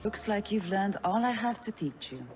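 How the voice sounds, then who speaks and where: A woman's voice: mid-range voice, lively intonation, moderate pace, close to an everyday voice. An actress playing a spirited, playful female thief and mentor in a video game. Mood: brisk, encouraging. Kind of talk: video game cutscene acting. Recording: game dialogue under loud music.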